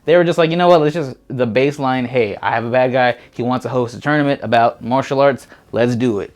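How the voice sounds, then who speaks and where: A man's voice: mid-range voice, quick pace. A man co-hosting a casual movie chat, talking in a laid-back, slangy, rambling way. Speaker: co-host. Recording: music playing behind. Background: background music.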